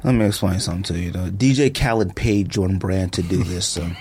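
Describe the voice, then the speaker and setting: A man's voice: deep voice, fairly quick, a little mellow, slight background noise. A man in a heated, casual debate about his views, talking in slang, insisting and repeating himself for emphasis. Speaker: podcast host.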